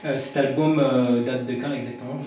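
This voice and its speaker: A man hosting an interview, asking questions in a halting, hesitant way. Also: mid-range voice, fairly even intonation, dark tone, slight background noise. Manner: halting, hesitant phrasing with many drawn-out pauses. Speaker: interviewer, show host. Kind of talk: radio-style interview questions. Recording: very echoey room, distant mic, music behind.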